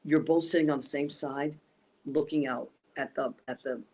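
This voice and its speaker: A woman's voice: low-pitched, fairly even intonation, normal speed, mellow. A woman whose first language is Italian, hosting a casual livestream over a video call, rambling enthusiastically with many fillers and restarts. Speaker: talk show host. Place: abroad on a trip, streaming remotely.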